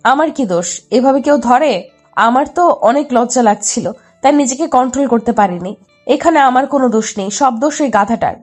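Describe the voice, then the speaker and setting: A woman's voice: on the high side, lively intonation, normal speed, a little mellow. A woman playing a headstrong, lovesick young woman who pleads insistently and pouts in a dramatised love story. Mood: flustered, annoyed. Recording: music behind the voice.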